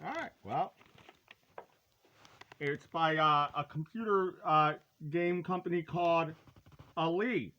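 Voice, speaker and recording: A man presenting a retro collectible in casual, rambling, halting chat, with a playful, hammy, folksy delivery and drawn-out words. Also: fairly high pitch, bright, forceful tone, dull audio.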